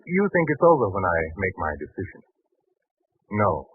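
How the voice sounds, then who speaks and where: A man's voice: sits low, very animated, moderate pace, breathy edge, no echo, full character voice. An actor playing an anguished, indecisive husband and father in a 1940s radio drama, hesitant and brooding. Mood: troubled. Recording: thin, muffled 1940s radio recording.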